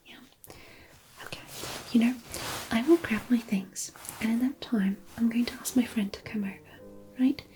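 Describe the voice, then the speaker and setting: A woman playing a gentle, caring fairy healer in a fantasy ASMR roleplay, whispering softly and soothingly. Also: fairly high pitch, slight background noise, close to an everyday voice, low-quality recording. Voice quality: soft, breathy whisper. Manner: soothing, unhurried, attentive.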